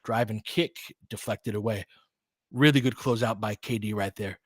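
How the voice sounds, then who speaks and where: A man's voice: fairly low pitch, moderate pace, dry recording, clean studio sound. Man calling live basketball, informal and opinionated. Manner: opinionated, conversational, clipped phrases. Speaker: host, live play-by-play commentator for fans.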